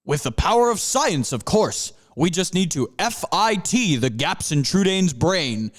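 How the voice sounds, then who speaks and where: An upbeat panther leader cheers his team on, as a man voices him. The voice sits a bit high and swings with a lively range. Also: unhurried, exaggerated voice. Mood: rallying. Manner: rallying, enthusiastic.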